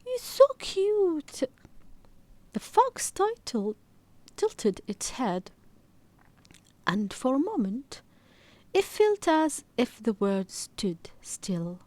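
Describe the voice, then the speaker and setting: A woman reading a children's story aloud in expressive scripted narration. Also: medium pitch, slow, pauses often, dry recording.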